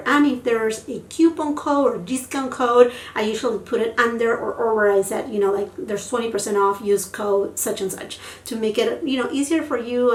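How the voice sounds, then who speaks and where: Woman doing a chatty makeup vlog, lots of "you know", a bit high, faint background hiss. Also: vocal fry. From Peru. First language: Spanish. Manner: chatty and effusive, many fillers like "you know". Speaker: beauty vlogger. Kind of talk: casual makeup tutorial chat. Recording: roomy sound, mic at a distance, faint noise.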